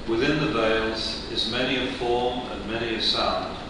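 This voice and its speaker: A man in a class reading a passage aloud in a plain, steady way. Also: medium pitch, normal speed, noisy background. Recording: echoey hall, mic far off.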